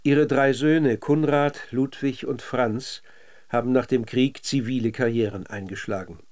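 Measured male radio documentary narrator. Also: mid-range voice, steady tone, a little mellow. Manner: measured, literary delivery.

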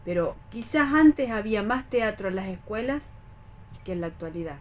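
A woman's voice: mid-range voice, expressive, moderate pace. A woman with an Argentine accent in a casual radio discussion, as one of the panelists. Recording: clear background noise.

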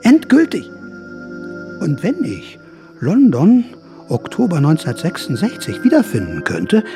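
A man's voice: on the high side, huge pitch swings, a little slow, heavily acted. A man in a radio drama playing an aging, paranoid, defiant storyteller who rambles with dramatic emphasis. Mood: bitter, determined. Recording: music behind the voice.

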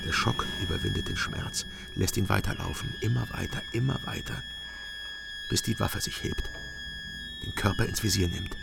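An actor playing a brooding narrator who recalls his story in measured, grave radio drama style. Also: lowish voice, gravelly, heavy background noise. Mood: grave, haunted.

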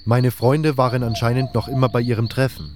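A man's voice: medium pitch, flat delivery, fairly quick, background noise. A man reading scripted first-person narration for a fantasy audio drama. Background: music.

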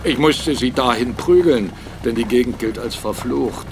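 An actor playing a blunt, argumentative captain and mill owner in a German radio drama, speaking emphatically. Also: male voice, mid-range voice, moderate pace, background noise, lightly acted. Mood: matter-of-fact, grim. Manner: argumentative, emphatic, rhetorical questions. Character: blunt, defiant male mill owner and captain, critical of church.

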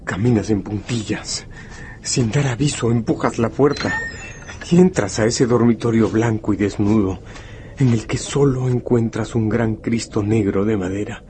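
An actor playing a grave, intimate male narrator who addresses the hero directly in a dramatic, deliberate radio-novel style. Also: on the low side, background noise, lightly acted. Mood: intimate, suspenseful.

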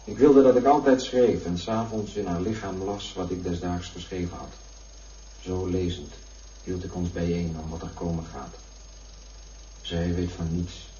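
An actor playing a solemn man reciting a yearning love poem in a Dutch radio play, measured, sounding distant and echoey. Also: low-pitched, fairly even intonation, warm tone, close to an everyday voice. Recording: distant, echoey, muffled, with background noise.

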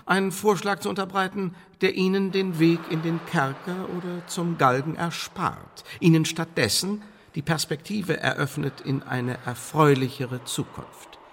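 An actor in a German radio drama playing a smooth, menacing Elizabethan English secret service chief, speaking in pompous, formal officialese with veiled threats. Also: male voice, a little high, a little slow, hardly any pauses, a little hiss or hum. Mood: officious, persuasive. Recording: studio radio play with long reverb.

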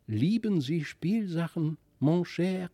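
A male narrator puts on a dainty rich little boy in a storybook. He is affected and over-polite, full of French airs, speaking in a raised pitch. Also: lively intonation, takes it slowly, dark tone, no echo, full character voice. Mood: affected politeness.

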